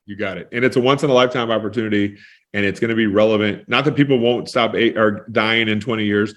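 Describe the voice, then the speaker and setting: A man's voice: fairly low pitch, steady tone, quick pace, a bit bright. Enthusiastic man in his forties. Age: 40s. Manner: enthusiastic, emphatic. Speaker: guest. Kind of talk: casual interview chat.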